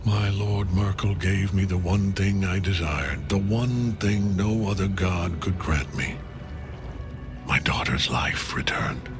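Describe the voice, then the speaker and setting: A devout, grief-worn dark warlord from a fantasy game, speaks solemnly. His voice is somewhat low and slow, over music. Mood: solemn devotion.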